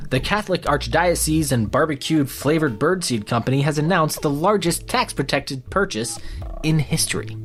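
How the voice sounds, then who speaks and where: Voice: medium pitch, natural voice. A performer playing a relentlessly upbeat, gullible news co-anchor with an earnest, salesy announcer delivery in a satirical broadcast. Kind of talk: scripted satirical newscast and mock ads.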